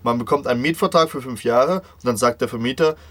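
A man's voice: mid-range voice, quick pace, a bit bright. A man acting as radio interviewer, voicing interview questions as a voice-over.

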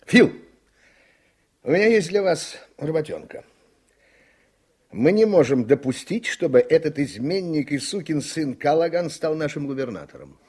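An actor playing an irritable, combative newspaperman in a radio play, husky and emphatic, with a bitter edge. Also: fairly high pitch, lively intonation, moderate pace, a little mellow. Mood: indignant, combative. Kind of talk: Soviet-style radio play acting.

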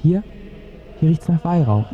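A man's voice: a little high, lively intonation, a little slow, lo-fi sound. An actor playing a curious, cheeky teenage boy with a blunt, wry way of asking things in a radio drama. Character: curious, cheeky teenage boy travelling with his old mentor.

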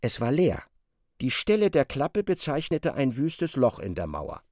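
A man giving expressive literary narration in a radio drama, as a storyteller. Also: mid-range voice, a little slow, no room sound, studio quality.

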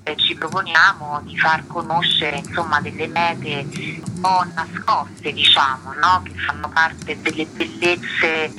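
A woman's voice: sits low, fairly even intonation, a little slow, music in the background, rough recording. A woman speaking as a radio interview guest, unscripted and hesitant with many filler words.

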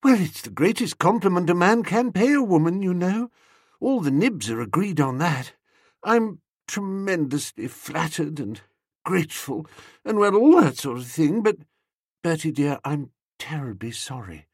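A man's voice: on the high side, animated, a little slow, slightly rough, dry recording, studio quality, voice pushed up. A man voicing a merry, coy, gushing young woman, the niece, in a comic audiobook.

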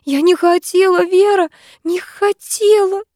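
A woman's voice: high-pitched, moderate pace, dark tone, dry recording, clean studio sound, pitched above the performer's own voice, close to an everyday voice. A woman reading an audiobook, voicing a desperate teenage schoolgirl who pleads through tears with a breaking voice. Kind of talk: audiobook character voice.

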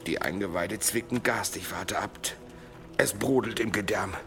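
An actor playing a grumbling, crude monk scribe in an audio drama, wheedling and groaning in a put-upon way. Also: male voice, on the low side, moderate pace, creaky, a bit bright, soft background music. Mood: pleading, pained.

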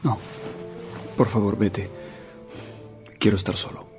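A man's voice: on the low side, steady tone, slow pace, a little mellow, soft background music. An actor playing a lovesick, melancholy young man with a yearning manner in a radio drama. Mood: despondent. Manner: subdued, yearning.

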